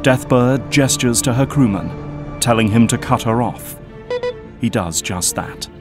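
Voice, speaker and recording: A man with a medium-pitched voice narrates an audio drama. The delivery is scripted and dramatic, with music clearly playing behind him. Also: unhurried.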